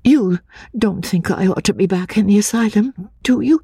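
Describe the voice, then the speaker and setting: A woman voicing a timid, confused, childlike young woman, hesitant and breathy, repeating herself anxiously in a classic audiobook. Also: mid-range voice, normal speed, dark tone, no room sound, clean studio sound, voice pushed up. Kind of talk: dramatic audiobook reading of classic literature.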